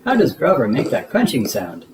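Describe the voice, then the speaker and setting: A man voicing a little childlike monster in a storybook, excitable, anxious and curious. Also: medium pitch, normal speed, background noise.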